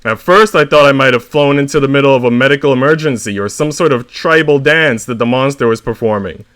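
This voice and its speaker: A man's voice: mid-range voice, fairly quick, a bit bright, close to an everyday voice. A man voicing an indignant, melodramatic honeybee, a devoted father, in a dramatic, aggrieved story reading.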